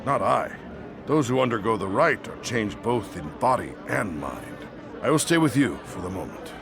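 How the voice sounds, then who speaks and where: A gruff, weary dwarf war leader in a fantasy game. The voice is lowish and gravelly, swinging in pitch, grave in manner, with music underneath. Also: male voice, big pitch swings, normal speed, a crowd in the background, noisy background. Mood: wry, resolute. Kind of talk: dramatic fantasy game acting. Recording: music behind the voice.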